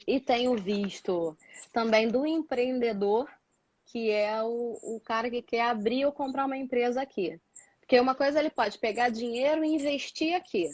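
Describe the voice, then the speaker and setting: A woman's voice: a little high, moderate pace, darkish tone, no room sound, poor audio. A Brazilian woman chatting casually and explaining things as a guest, repeating words for emphasis. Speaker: guest, doctoral student living in Portugal.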